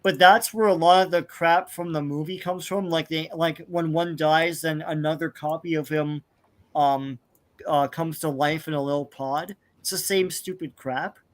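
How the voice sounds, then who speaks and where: A man's voice: fairly high pitch, steady tone, normal speed. A man in casual podcast chat about comics, rambling with lots of hesitations. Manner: rambling, lots of ums and likes.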